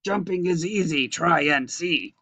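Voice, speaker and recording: A woman voicing a grumpy toad in a drawn-out storybook manner. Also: lowish voice, fairly bright tone, slightly rough, no room sound, exaggerated voice. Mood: gruffly inviting. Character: grumpy male toad inviting friends to play. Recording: dull lo-fi webcam recording.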